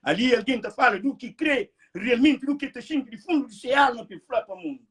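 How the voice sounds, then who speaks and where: High-voiced man, heated vlog rant. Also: quick pace, slightly rough. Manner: animated, repetitive, emphatic outbursts. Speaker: vlog host. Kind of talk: heated casual talk.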